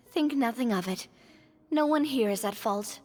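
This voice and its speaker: A woman playing a gentle, heartfelt young friend in a video game, with a soft, sincere manner. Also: on the high side, expressive, slow, long reverb tail, faint music behind. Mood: reassuring.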